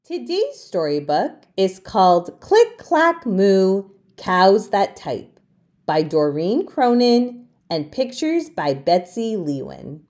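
A woman hosting a children's storytime, reading aloud expressively with deliberate, emphatic phrasing. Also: medium pitch, very animated, a little mellow. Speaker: children's storytime host and reader.

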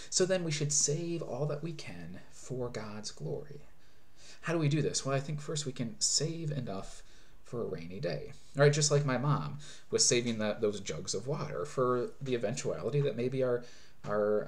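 A man's voice: medium pitch, darkish tone. A man in his thirties, a pastor, preaching an earnest sermon from a small room at home into a webcam, often restarting phrases as he explains. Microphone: webcam at desk distance.

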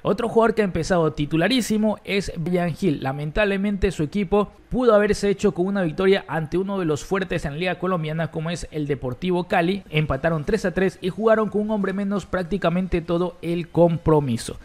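A man presenting a football news roundup for an online channel, brisk and informative. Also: sits high, darkish tone. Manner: brisk, reads quotes aloud.

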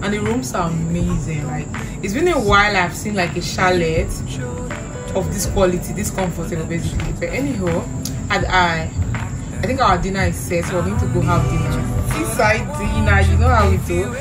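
A woman's voice: on the low side, normal speed, over loud music, rough recording. A young woman from Nigeria with a Nigerian accent, chatting to camera as a travel vlogger, gushing and upbeat. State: tired after a long trip. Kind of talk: casual vlog talk to camera.

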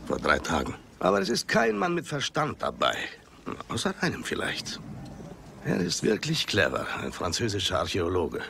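An actor playing a hearty but wary Egyptian master digger, theatrical and emphatic, in a German film dub. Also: male voice, lowish voice, huge pitch swings, moderate pace, vocal fry, noisy background, full character voice. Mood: earnest.

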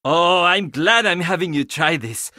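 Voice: mid-range voice, measured pace, sharp tone, dry recording, clean studio sound. An actor playing a quirky, chatty young handyman who loves puzzles in a video game, enthusiastic with sardonic, casual asides. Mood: excited, friendly. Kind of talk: video game character dialogue.

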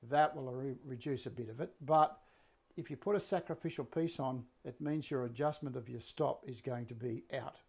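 An older man with a slightly croaky voice talks through a woodworking project in his workshop. He rambles easily, with plenty of ums and restarts, at an ordinary middle pitch. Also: normal speed. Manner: relaxed, rambling, many fillers and restarts. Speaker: woodworking teacher and live-stream host. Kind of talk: live workshop demonstration. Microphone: fixed camera, mid-distance framing.

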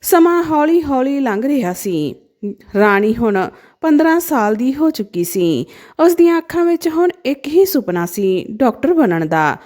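A woman narrating a scripted emotional moral story as a storyteller, with an expressive, dramatic delivery. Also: sits high, a little slow.

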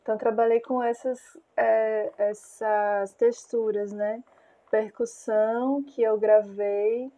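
A Brazilian woman in her thirties with a Brazilian Portuguese accent, a music producer calmly explaining how she built a track, recorded on an earphone mic in a small room. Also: fairly high pitch. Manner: calm, measured, unhurried. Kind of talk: casual explainer of a music project.

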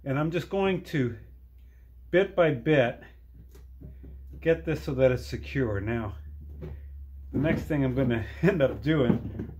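Middle aged guy doing a diy workshop demo, kinda halting, thinking out loud, medium pitch, bit of background noise. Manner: halting, thinking aloud while working. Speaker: DIY video maker. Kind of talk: casual hands-on workshop demonstration. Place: cluttered workshop with dirt floor.

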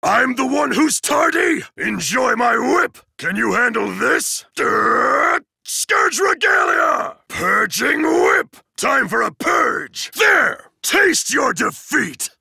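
A towering, zealous male disciplinarian from an anime fighting game. The voice is hard-edged and very intense, with a raspy grain and big swings in pitch. He delivers everything as a bombastic, formal proclamation, stern and emphatic. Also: sing-song, slow, hardly any pauses, bright, forceful tone, no room sound, studio quality, heavily acted. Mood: battle fury. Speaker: video game voice actor.

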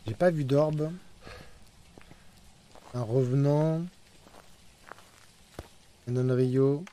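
A man's voice: medium pitch, fairly even intonation, extremely slow, warm tone. A man streaming a ghost-hunting game live, giving urgent, repetitive directions to his teammates. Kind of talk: live gaming commentary.

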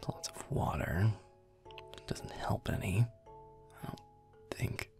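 Guy doing a grumpy tsundere werewolf boyfriend gaming, really low crackly half-whispered mumble, music behind. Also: lively intonation, slow pace, gravelly, a little mellow, full character voice. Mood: muttering. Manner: muttering, defensive, sarcastic. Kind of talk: roleplay audio, gaming chat with listener.

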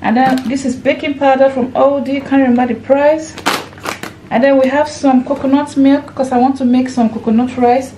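A fairly high-voiced woman chats casually to her viewers while she walks around a table of groceries in her kitchen. She counts items aloud and keeps calling her audience "guys". A faint hum of room noise sits behind her. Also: fairly even intonation, normal speed, warm tone. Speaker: lifestyle vlogger and mum. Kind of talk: casual grocery haul narration. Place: home kitchen, indoors.

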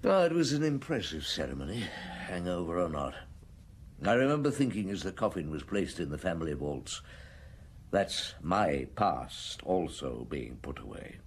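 An actor playing a shrewd, world-weary police chief inspector in British radio drama, measured and probing, with a British accent. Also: male voice, deep voice, very animated, a little hiss or hum. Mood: weary, reflective. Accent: British English.

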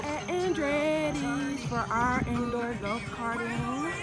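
High-voiced chatty woman vlogging. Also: fairly even intonation, slow pace, reverberant. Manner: laughing, playful and chatty. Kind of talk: casual vlog narration. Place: busy restaurant and indoor go-kart arena. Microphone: handheld phone camera. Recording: loud crowd and music behind.

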